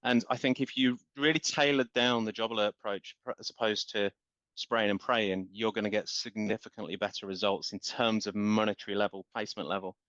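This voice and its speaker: A man's voice: lowish voice, steady tone, a little fast, fairly bright tone, no echo. Man, business guest, passionate and rambling, dry and muffled. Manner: passionate, talks in long run-on explanations with repeated words. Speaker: guest, marketing company founder. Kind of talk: business podcast interview. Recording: dull, lo-fi.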